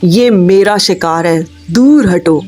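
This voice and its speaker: Woman as a storybook male crow, greedy, cowardly, blunt, slow, music behind. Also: on the low side, dark tone, voice pushed down. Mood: menacing.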